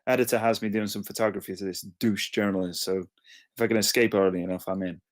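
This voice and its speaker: A man's voice: on the low side, fairly even intonation, fast, close to an everyday voice. A man playing an easygoing young best friend, wry and bantering, in a screenplay table read. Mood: teasing.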